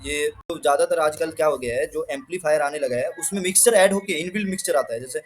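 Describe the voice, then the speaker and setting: A man's voice: on the high side, fast, faint music behind. A man with an Indian accent giving an informal technical lesson, explaining things repetitively with frequent check-in tags. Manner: mixes Hindi with English technical terms. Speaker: instructor presenting an explainer video.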